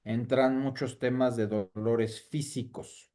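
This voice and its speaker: A man's voice: mid-range voice, steady tone, takes it slowly, warm tone. A man in the interviewer's seat, with a mid-range voice. He asks inquisitive follow-up questions and steers the conversation.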